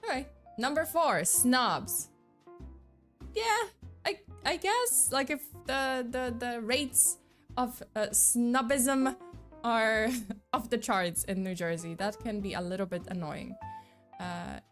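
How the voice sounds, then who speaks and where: A woman's voice: a little high, slow, a bit bright, soft background music. Bubbly woman streamer with a slight accent. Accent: slight mixed non-native. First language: not English. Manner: bubbly, rambling, lots of fillers and laughs. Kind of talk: casual live-stream chat.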